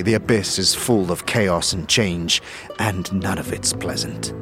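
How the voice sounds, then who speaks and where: A man playing a world-weary, grave traveler in a fantasy audio drama, speaking formally and ominously. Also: low voice. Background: prominent background music.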